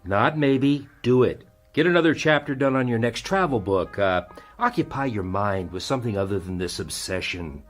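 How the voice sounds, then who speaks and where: An actor playing a practical, reassuring husband and friend, conversational and placating, in a naturalistic radio drama. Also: male voice, medium pitch, animated, normal speed, faint music behind, lightly acted. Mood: reassuring, firm.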